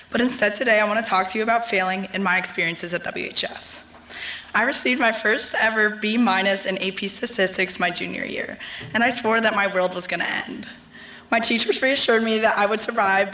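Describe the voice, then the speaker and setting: A young woman giving a graduation speech as a student speaker at a podium mic, her voice worn and going hoarse. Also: medium pitch, bright, forceful tone, low-quality recording. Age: young adult. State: losing her voice. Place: large ceremony venue.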